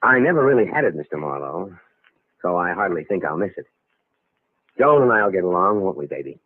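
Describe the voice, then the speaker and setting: A man's voice: deep voice, very animated, brisk, no echo. A man playing a slick, self-satisfied young impostor in a vintage radio mystery. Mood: resolute. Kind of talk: 1940s radio mystery acting. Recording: tinny vintage radio recording with music. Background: music.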